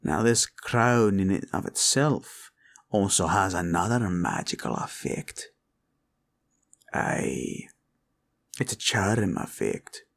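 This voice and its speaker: A man voicing a sly, greedy green dragon villain in a fantasy roleplay, with a husky growl and taunting, drawling delivery. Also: deep voice, full character voice. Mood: sly, boastful. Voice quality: gravelly, husky, breathy growl. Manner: slow, drawling, taunting, archaic turns of phrase.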